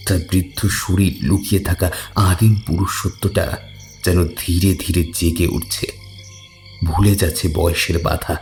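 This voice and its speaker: Deep-voiced man narrating a horror story. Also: a little mellow. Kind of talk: dramatic scripted horror narration.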